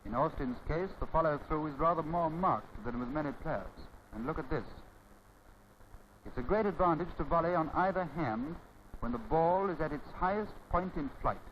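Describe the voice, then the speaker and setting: An old, dull-sounding film soundtrack with faint noise carries a man's commentary. His voice sits a little high for a man. He speaks formally and didactically in measured, scripted phrases, in the manner of a 1930s instructional film. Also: animated.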